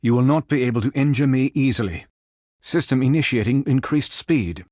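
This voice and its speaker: A man playing a calm, noble android superhero in a video game, speaking in formal, precise, stilted robotic phrases. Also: medium pitch, brisk, no echo, clean studio sound, lightly acted. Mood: measured, analytical. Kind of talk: video game character voice lines.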